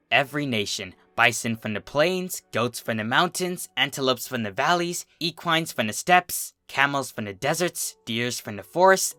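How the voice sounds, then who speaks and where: Male narrator, bright and expressive, for theatrical fantasy storytelling with deliberate delivery. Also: medium pitch, no room sound. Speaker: fan fiction reader, narrator. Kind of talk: dramatic scripted story narration.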